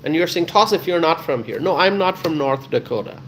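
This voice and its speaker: A man's voice: on the high side, expressive, brisk, fairly bright tone, slight background noise. Emphatic man chatting live with viewers. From Bangladesh. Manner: repeats phrases for emphasis. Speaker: livestream host answering viewer comments. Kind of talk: casual live chat with viewers.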